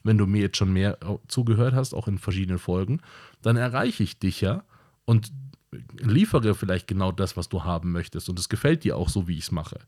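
A man's voice: low-pitched, lively intonation, a little fast, a little mellow. A man hosting his own podcast, talking in a casual monologue that is blunt and direct and repeats points for emphasis. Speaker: podcast host.

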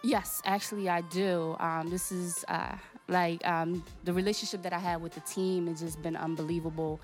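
A woman's voice: on the low side, little pitch movement, moderate pace, faint music behind. A young woman from Portugal whose first language is Portuguese, a singer chatting hesitantly as a radio guest with many fillers. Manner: soft-spoken, hesitant, many fillers and laughs. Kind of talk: casual interview chat.

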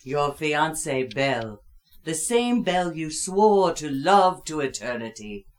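A man playing a solemn ghostly spirit in a radio drama, speaking in a grave, measured, declamatory way. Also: fairly high pitch, sing-song, a little hiss or hum. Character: solemn male ghostly spirit of Christmas past. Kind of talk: theatrical radio drama acting.

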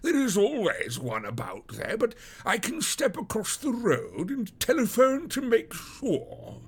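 A bluff, officious police detective from a storybook. He drawls slowly in a high, creaky voice, hearty and full of bluster. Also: wildly swooping pitch, heavily acted. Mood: agreeable, businesslike. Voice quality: raspy, creaky.

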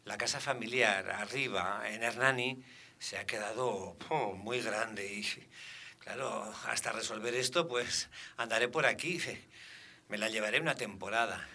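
Man acting a bright, forceful, rambling old monk. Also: lowish voice, unhurried, heavily acted. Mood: pious, rambling. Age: older. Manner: rambling, hesitant, pious. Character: talkative, pious old Benedictine monk, flustered and kindly. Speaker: caller to a radio contest. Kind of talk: comic radio theatre acting.

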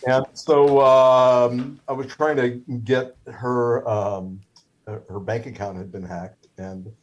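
A man's voice: mid-range voice, steady tone, slow pace, poor audio. A middle-aged man whose first language is Hebrew, telling a story casually with many fillers, restarts and wry humor. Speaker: guest, stroke survivor.

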